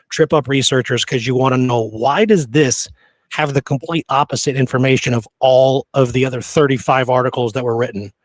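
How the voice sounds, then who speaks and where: Male history podcast host, mid-pitched, informal and emphatic, conversational delivery, close dry sound. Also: no echo. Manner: informal, emphatic, seeks agreement with "right?". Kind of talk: casual history discussion.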